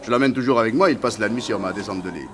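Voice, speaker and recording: An actor playing a hearty, fearless tiger tamer, proud and affectionate, with an exuberant, coaxing manner, in a mid-century French radio drama. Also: male voice, fairly low pitch, racing, natural voice. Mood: matter-of-fact. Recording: noisy old radio drama recording.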